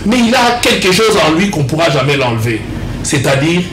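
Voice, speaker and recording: A man's voice: fairly high pitch, very animated, a little fast, reverberant, noisy background. A young man from Congo, an outspoken and emphatic political guest in a televised interview. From Democratic Republic of the Congo.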